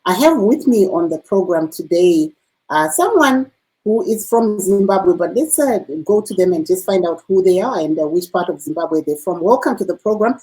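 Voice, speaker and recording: A woman hosting a radio interview, asking measured questions with occasional uh fillers. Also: mid-range voice, lively intonation, warm tone, rough recording. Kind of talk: broadcast interview.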